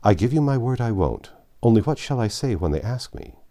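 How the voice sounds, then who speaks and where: A man voicing an earnest, brotherly young gentleman who disapproves protectively, in a storybook reading. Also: mid-range voice, big pitch swings, a little fast, pitched above the performer's own voice, close to an everyday voice.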